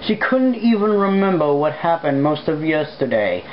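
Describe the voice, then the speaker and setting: Casual amateur story reader, irreverent and foul-mouthed about mistakes, middling pitch, muffled homemade recording with some noise. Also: big pitch swings, normal speed. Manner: irreverent, swears at own slip-ups. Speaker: amateur fan fiction reader. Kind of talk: casual read-aloud with side remarks. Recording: dull lo-fi home recording, background noise.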